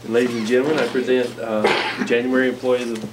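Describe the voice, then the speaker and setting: A man's voice: mid-range voice, level tone, fast, background noise. A middle-aged man presenting a staff award at a school board meeting, sincere and hesitant with many ums. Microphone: far camera. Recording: distant voice in a meeting room.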